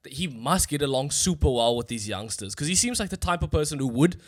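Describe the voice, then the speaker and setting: A man's voice: a little high, expressive, brisk. A man, co-hosting a casual football podcast in a laid-back, slangy, sweary way. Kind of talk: casual sports chat.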